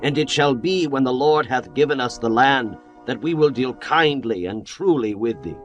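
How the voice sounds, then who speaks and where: A man's voice: medium pitch, moderate pace, faint music behind, natural voice. A man playing a young Israelite spy who speaks firmly and solemnly. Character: two young male Israelite spies, steady and sworn.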